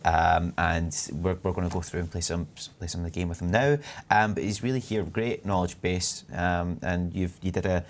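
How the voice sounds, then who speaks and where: Young scottish man, low-pitched, rambling, streamer reading chat. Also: steady tone, moderate pace, few pauses, slight background noise. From Scotland. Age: 20s. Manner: chatty, rambling, reads chat aloud. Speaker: community manager.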